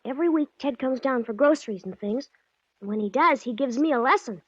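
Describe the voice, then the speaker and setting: A woman's voice: high voice, fairly quick, husky, dry recording. A woman playing an earnest, secretive small-town American boy with hesitant kid slang in a 1940s radio drama. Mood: earnest, worried. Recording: dull old 1940s radio recording.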